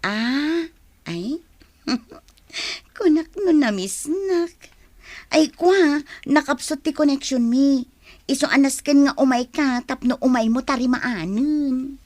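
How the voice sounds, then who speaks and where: An actress in an Ilocano radio drama playing a flirtatious, playful sister-in-law, with a coy manner and an Ilocano accent. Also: female voice, sits high, slow pace, darkish tone, no room sound.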